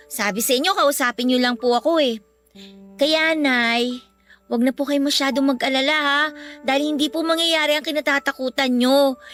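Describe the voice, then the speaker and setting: A high, youthful voice as a respectful Filipino child in a radio drama. The child is caring and earnest, gently reassuring a parent, with faint music underneath. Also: female voice. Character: caring, earnest school-age child comforting worried mother.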